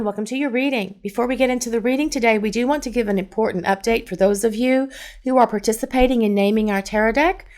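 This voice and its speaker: Calm middle-aged woman reading tarot. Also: a little high. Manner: calm, measured, gently repetitive. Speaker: tarot reader. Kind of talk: pick-a-card tarot reading.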